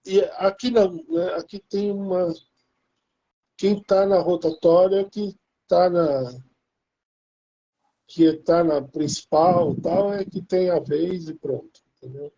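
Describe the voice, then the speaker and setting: Brazilian man, very dark mellow voice, slightly high, rambling casual chat, dull lo-fi recording. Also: slow pace, pauses often. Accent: Brazilian Portuguese. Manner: rambling, many restarts and fillers.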